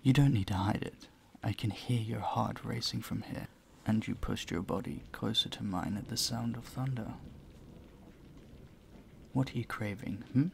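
A man playing a courteous, charming vampire in an intimate audio roleplay, soft-spoken, breathy and soothing, often close to a whisper. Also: fairly low pitch. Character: gentle, courteous male vampire, charming and doting. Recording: faint ambient noise behind the voice.

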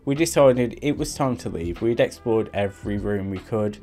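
A man's voice: on the low side, normal speed, dark tone, soft background music. A young man, vlogging casually while exploring an abandoned factory, laughing often. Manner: chatty, laughs often, loose rambling. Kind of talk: casual vlog with voice-over narration. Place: abandoned factory indoors and on a roof.

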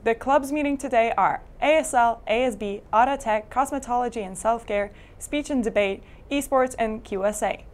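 Teen girl from Poland, student anchor, club news, fairly high voice. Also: normal speed, hardly any pauses. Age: teens. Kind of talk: reading school announcements. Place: school TV studio.

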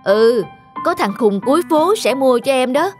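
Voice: high-pitched, lively intonation, moderate pace, faint music behind. A performer voicing a teasing, dismissive teenage older brother in a cartoon, mocking and offhand. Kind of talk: Vietnamese cartoon voice acting.

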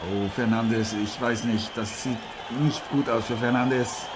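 A man playing a self-important radio boxing commentator, dramatic and halting, often repeating himself. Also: medium pitch, steady tone, natural voice. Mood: dramatic concern. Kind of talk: improvised radio sports commentary. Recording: noisy, with arena crowd ambience. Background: crowd noise.

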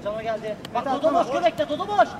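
A man on an outdoor pitch at night yelling calls to his teammates. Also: animated, fast, a loud crowd. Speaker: player on the pitch. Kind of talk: shouted on-field calls. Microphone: far from the camera mic. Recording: distant echoey outdoor pickup.

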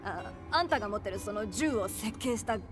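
A woman playing a brash, starstruck young gunsmith in a game, stammering and gushing in rough speech. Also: medium pitch, lively intonation, fairly quick, over loud music, close to an everyday voice. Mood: starstruck, flustered. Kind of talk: video game cutscene acting.

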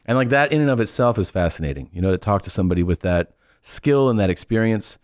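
A man in his forties hosts a casual podcast chat from a small studio. His voice sits low and he jokes and swears easily. The close studio mic gives a dry, tight sound. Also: lively intonation, fast, no echo. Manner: joking, swears freely, puts on mock voices. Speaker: podcast host. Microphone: studio boom mic, close.

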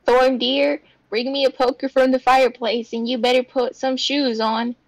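A woman's voice: sits high, level tone, normal speed, poor audio. A woman reading the part of a commanding, protective old grandmother in a comic dub. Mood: firm, urgent.

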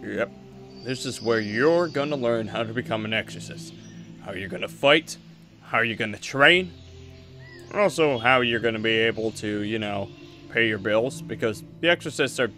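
A man playing an easygoing but firm father, a veteran exorcist in a Minecraft roleplay, talking in a relaxed, reassuring way. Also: medium pitch, normal speed, faint music behind. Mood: welcoming. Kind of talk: improvised Minecraft anime roleplay.

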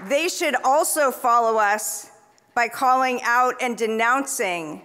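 Slow, earnest woman at a podium. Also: a little high, bright, forceful tone, some chatter behind. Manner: slow, deliberate, earnest delivery. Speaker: award recipient giving a speech. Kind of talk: prepared speech at a podium. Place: large banquet hall on stage. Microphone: podium microphone. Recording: long reverb of a large hall.

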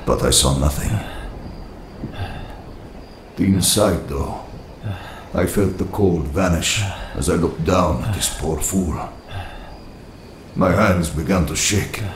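An actor playing a proud, brooding older tyrant recounting his youth in a grave, measured, haughty dramatic narration for a comic. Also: deep voice, echoey room, noisy background. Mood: brooding, haunted.

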